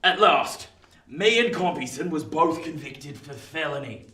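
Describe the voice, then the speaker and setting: Bitter old English convict, sharp-voiced. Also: male voice, on the high side, animated, a little slow. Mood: gruff reminiscing. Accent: English, working-class. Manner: colloquial, emphatic storytelling that swings into indignation. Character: rough, bitter old male ex-convict recounting his criminal past. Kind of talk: radio play acting. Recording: roomy, distant from the mic.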